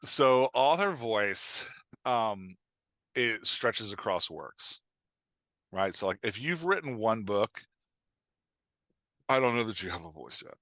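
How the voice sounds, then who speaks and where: A man talking casually as a podcast guest and writing coach, explaining in an informal, halting way with emphatic check-in questions. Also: on the low side, expressive, fairly bright tone, no echo.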